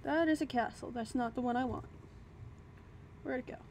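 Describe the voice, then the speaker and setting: A fairly high-voiced woman rambles cheerfully at her desk mic, chatty and self-deprecating as she plays. She mutters to herself while sorting through her things. Faint hiss sits under the voice. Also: normal speed, vocal fry, darkish tone. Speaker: YouTuber playing a block-building game. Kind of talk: casual gameplay chatter to the camera. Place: home desk. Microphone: desk microphone at a computer.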